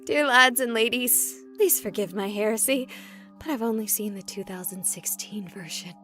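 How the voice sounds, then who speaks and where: A woman playing a plucky, stubborn young streamer in a visual novel, sarcastic and excitable in her delivery. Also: medium pitch, moderate pace, lightly acted. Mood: sardonic. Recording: music behind the voice.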